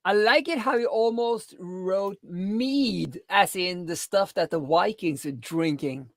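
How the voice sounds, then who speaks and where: A woman with a mid-pitched voice chats casually as a co-host. She answers in short, informal remarks. The recording is very dry, with no audible room. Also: slow, dry recording. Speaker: co-host, pair-programming listener. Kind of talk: casual chat with short responses.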